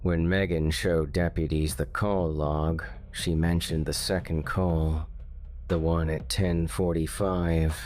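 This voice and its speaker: Deep, slow, flat-voiced male narrator. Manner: slow, measured, ominous delivery. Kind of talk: scripted horror narration. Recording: faint music underneath.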